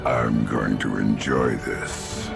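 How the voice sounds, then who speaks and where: An actor voicing an imperious alien warlord in a video game, pompous and menacing, with a gravelly voice and grandiose delivery. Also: male voice, rumbling low, fairly even intonation, heavily acted. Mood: menacing, commanding. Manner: slow, grandiose declarations. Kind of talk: animated video game acting. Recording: loud music behind the voice.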